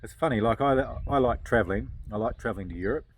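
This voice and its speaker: A man's voice: mid-range voice, fast talker. A New man in his fifties chatting casually, a laid-back storyteller who laughs easily and says "you know" often. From New Zealand. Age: 50s. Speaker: guest builder. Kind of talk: casual chat. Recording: background noise.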